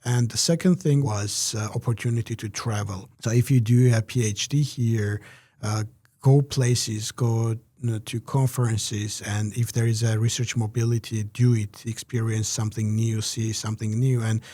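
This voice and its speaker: A man giving measured, reflective interview answers, pausing often with "uh" between phrases. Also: on the low side, steady tone, mellow, no echo. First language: Georgian. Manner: measured, many "uh" pauses. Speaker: interview guest, researcher.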